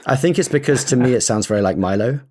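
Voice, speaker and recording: A man's voice: mid-range voice, fast, darkish tone, husky. A man co-hosting a casual podcast, easygoing and self-deprecating. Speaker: podcast co-host. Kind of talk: casual chat.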